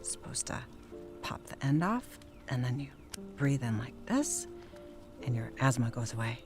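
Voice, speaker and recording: An actress playing a stubborn, sarcastic wife who needles and bickers in a naturalistic period radio drama. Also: female voice, very deep voice, sing-song, a little slow, over music, full character voice. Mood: chatty, matter-of-fact. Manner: sarcastic, blunt, teasing.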